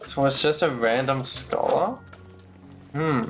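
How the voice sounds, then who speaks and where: A man's voice: mid-range voice, takes it slowly, fairly bright tone. A man giving casual live gameplay commentary as a streamer, with sarcastic, sweary muttering asides. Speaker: game streamer. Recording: faint game music behind.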